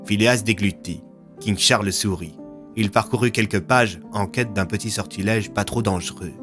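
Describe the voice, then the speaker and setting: A man's voice: lowish voice, moderate pace. A man narrating scripted, dramatic audio fiction as a storyteller, with music underneath.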